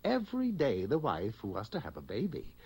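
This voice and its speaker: Husky, animated male storybook narrator. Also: on the low side, brisk. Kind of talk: scripted storybook narration for a cartoon.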